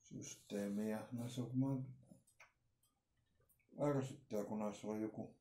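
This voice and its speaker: A man's voice: lowish voice, steady tone, dark tone. A middle-aged man giving a casual, unscripted unboxing talk in a hesitant, rambling way, often repeating his words. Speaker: vlogger presenting an unboxing. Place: small room at home, indoors. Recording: roomy, echoey home recording.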